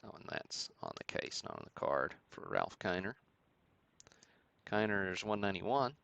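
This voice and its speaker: Low, gravelly-voiced man hosting a livestream. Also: big pitch swings, unhurried, fairly bright tone, no room sound. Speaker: host of a sports card break stream. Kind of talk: live show-and-tell commentary on vintage cards.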